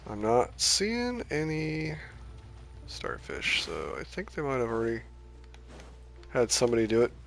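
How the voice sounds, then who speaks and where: A man's voice: mid-range voice, huge pitch swings, measured pace, creaky, long pauses, faint music behind. A man giving casual, rambling gameplay commentary on a livestream, his voice starting to tire. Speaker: game livestreamer.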